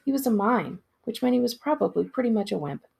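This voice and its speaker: A woman, a school librarian, giving an expressive read-aloud of a storybook in a narrator's style. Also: on the high side, fast talker, creaky, slightly rough.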